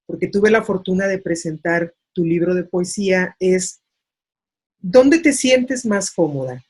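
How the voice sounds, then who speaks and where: A woman hosting an interview, putting her questions in a formal, deliberate way. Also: fairly low pitch, a little mellow, husky. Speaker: interviewer, host.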